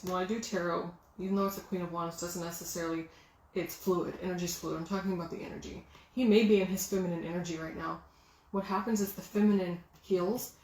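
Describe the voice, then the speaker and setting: A woman giving a casual, excitable tarot reading, chatting and musing aloud with many fillers. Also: lowish voice. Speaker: tarot reader. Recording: roomy with faint background noise.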